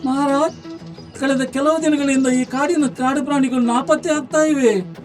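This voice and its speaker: High-pitched, earnest forest animal voiced by a woman. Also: unhurried, a little mellow, soft background music. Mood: earnest, alarmed. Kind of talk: animated animal story dialogue.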